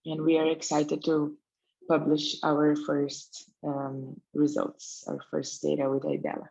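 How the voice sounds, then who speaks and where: A woman's voice: low voice, monotone, unhurried, creaky, dark tone, breathy edge, dull audio. A woman from Brazil, a researcher introducing herself carefully on a video call. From São Paulo, Brazil. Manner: careful, with fillers.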